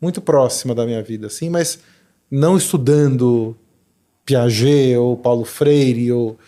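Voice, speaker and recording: Reflective man with a mellow voice. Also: mid-range voice, measured pace. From Brazil. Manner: reflective, lots of fillers and restarts. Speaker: podcast guest, learning specialist. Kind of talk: conversational interview.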